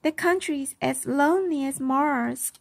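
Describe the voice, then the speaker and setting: A woman voicing an earnest, excitable, lonely little girl in a children's storybook. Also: sits high, measured pace, creaky, pitched above the performer's own voice. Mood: glum, confiding. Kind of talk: children's storybook read-aloud.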